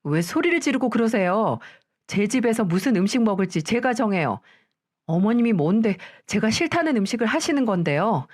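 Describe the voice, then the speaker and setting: A woman's voice: on the high side, very animated, a little fast, darkish tone, pitched above the performer's own voice. A woman voicing a cold, contemptuous daughter-in-law who talks back curtly and sharply in a story read aloud. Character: cold, scornful woman in her middle years, the daughter-in-law.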